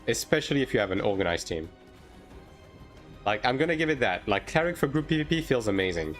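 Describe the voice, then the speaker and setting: A man's voice: mid-range voice, very animated, fast talker, a bit bright. French-born male streamer over background music. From France. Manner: informal, hedging, tags sentences with "right?". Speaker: game streamer. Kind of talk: casual livestream chat answering viewer questions.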